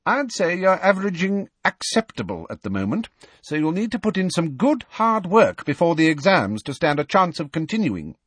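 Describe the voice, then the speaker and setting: McGonagall, a man doing a stern crisp professor. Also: fairly high pitch, big pitch swings, normal speed, fairly bright tone, no echo, voice pushed up, heavily acted. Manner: clipped, curt, haughty.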